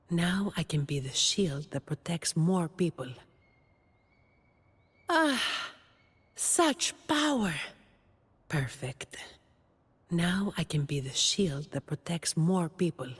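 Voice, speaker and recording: A woman's voice: fairly low pitch, very animated, slow, long pauses, husky, in a large hall, exaggerated voice. A woman voicing a dutiful, weary young woman guardian in a video game, dry and composed with a confident edge. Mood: solemn, resolute. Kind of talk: video game character voice lines.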